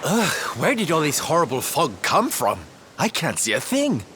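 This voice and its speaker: An actor voicing a flamboyant, theatrical entertainer in a video game, camp and dramatic in manner. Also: male voice, a little high, wildly swooping pitch, normal speed, a bit bright, a little hiss or hum. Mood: uneasy, dramatic.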